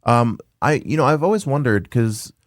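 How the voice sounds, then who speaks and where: A man's voice: medium pitch, lively intonation, normal speed, dry recording, clean studio sound. A man hosting a casual interview in a laid-back way, often saying dude and man. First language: English. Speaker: podcast host, game store owner.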